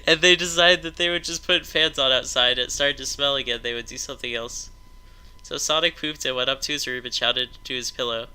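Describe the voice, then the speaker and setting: Bright, sharp-voiced man reading aloud. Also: mid-range voice, fairly even intonation, brisk, clear, resonant tone. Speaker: storytime narrator. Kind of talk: comedic story read-aloud among friends.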